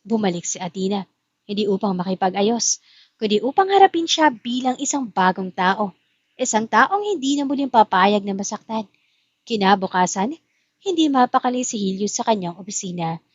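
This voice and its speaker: A woman's voice: medium pitch, animated, moderate pace, dry recording, muffled recording. A woman as narrator, reading a scripted love story in dramatic style. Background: music.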